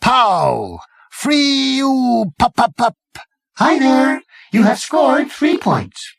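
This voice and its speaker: A man's voice: very high voice, very animated, very slow, creaky, fairly bright tone, roomy sound, voice pushed up, heavily acted. A man voicing a chirpy arcade game computer that announces scores in an audiobook. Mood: gleeful. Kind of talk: audiobook character voice.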